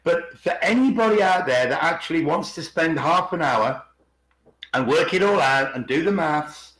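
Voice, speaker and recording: A man's voice: a little high, expressive, moderate pace, a bit bright. A middle-aged man in casual, opinionated discussion on an online call, rephrasing himself emphatically as he goes. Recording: roomy, echoey online call.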